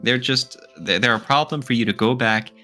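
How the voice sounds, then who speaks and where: A man's voice: mid-range voice, moderate pace, sharp tone, faint music behind. A man co-hosting a podcast in casual debate style, speaking emphatically and arguing playfully. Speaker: podcast co-host.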